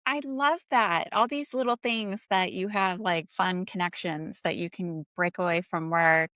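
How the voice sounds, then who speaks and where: A woman's voice: lowish voice, measured pace, dry recording, studio quality. A woman hosting an interview series, upbeat and encouraging toward her guest. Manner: upbeat, warm-toned and encouraging, laughs easily. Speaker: interview host. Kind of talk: hosted video interview.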